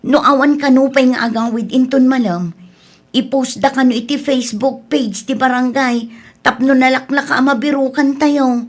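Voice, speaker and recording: A woman's voice: high voice, fairly even intonation, normal speed. A woman playing an anxious middle-aged wife and mother in distress, in a radio drama. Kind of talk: radio drama acting in Ilocano.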